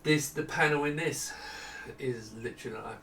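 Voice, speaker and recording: A middle-aged man talks through his product in an informal, halting way, as if thinking aloud. His mid-pitched voice is picked up from some distance in a reverberant small room, with faint noise behind it. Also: creaky, pushed and bright. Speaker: product designer presenting his monitors. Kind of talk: casual unscripted product walkthrough. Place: small home office indoors with studio lighting.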